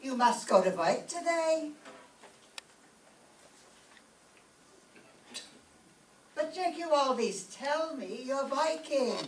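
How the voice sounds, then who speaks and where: Woman acting nagging immigrant mama, scolding manner, 1930s radio comedy, slightly high pitch, distant echoey recording. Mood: complaining. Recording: echoey distant stage recording, faint noise.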